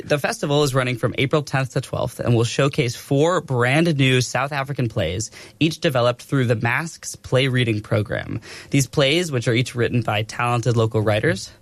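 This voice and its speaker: A young man hosting a radio interview in a studio, relaxed and informal, with lots of likes and ums. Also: mid-range voice, dry recording. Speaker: radio host.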